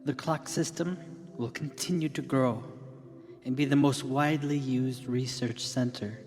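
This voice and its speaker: Long hall reverb over music carries a man acting as a confident tech founder in a radio drama, grand and deliberate. He speaks at an unhurried pace with theatrical pauses, like a keynote speaker selling the future. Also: medium pitch, steady tone, a little mellow, lightly acted. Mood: grand, visionary. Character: confident visionary tech company founder giving keynote speech.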